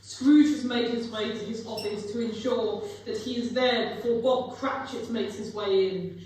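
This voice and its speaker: A woman's voice: a little high, steady tone, normal speed, darkish tone, close to an everyday voice. A young woman narrating a scripted story in a live dramatic reading. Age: young adult. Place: museum room, live performance. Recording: echoing hall, distant mic, faint noise.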